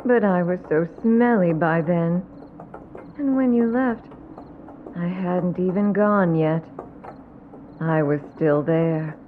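Woman playing a vengeful dead woman's ghost, slow singsong taunts, low-ish voice, very slow, slightly muffled. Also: a little hiss or hum. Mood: mournful, accusing. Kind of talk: horror audio drama.

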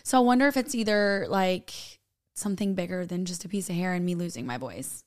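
A woman co-hosting a podcast in casual chat, joking and laughing, her voice going hoarse. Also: fairly low pitch, a little fast, darkish tone. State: losing her voice. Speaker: podcast co-host.

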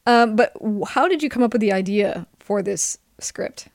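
A woman's voice: medium pitch, expressive, moderate pace, vocal fry, dry recording, clean studio sound. A middle-aged woman hosting a podcast in a casual, chatty interview style. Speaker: podcast host.

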